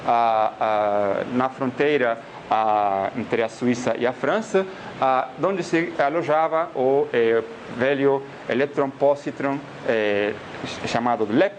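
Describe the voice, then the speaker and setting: A man with an Argentine accent gives a physics lecture in a hall. He hesitates often and keeps filling pauses with little sounds. His voice sits mid-pitch, and a clear hiss runs under the recording. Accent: Argentine Spanish. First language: Spanish. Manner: hesitant, many filler sounds. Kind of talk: academic colloquium talk.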